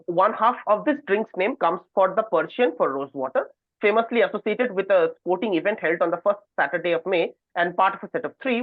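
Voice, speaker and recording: A man's voice: sits high, no echo. A man hosting a quiz over a voice call, reading out long questions and adding informal asides as he moderates the contestants. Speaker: quiz host. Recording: narrow phone-like online call audio.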